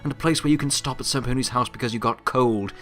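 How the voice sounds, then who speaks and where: A man playing a weary, wry, remorseful pony who serves as Death, speaking in a reflective, halting, confiding monologue in an audio drama. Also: mid-range voice, a bit bright, natural voice. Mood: earnest, hopeful.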